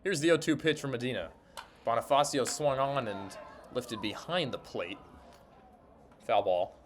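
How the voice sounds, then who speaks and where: Male radio play-by-play voice, mid-pitched, clipped descriptive delivery, light ballpark ambience. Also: unhurried, slight background noise. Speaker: sports broadcaster, interviewer and play-by-play announcer. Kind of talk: baseball radio play-by-play commentary. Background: ambience of a sparsely filled ballpark.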